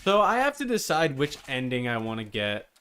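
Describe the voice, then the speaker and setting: A man's voice: mid-range voice, sing-song, normal speed, sharp tone, clean tone. A man giving casual live commentary as he streams a video game, rambling and easily sidetracked. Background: game music.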